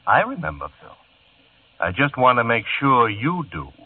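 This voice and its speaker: A sly husky police lieutenant. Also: male voice, medium pitch, huge pitch swings, normal speed. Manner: drawling, insinuating. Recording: tinny old radio broadcast recording.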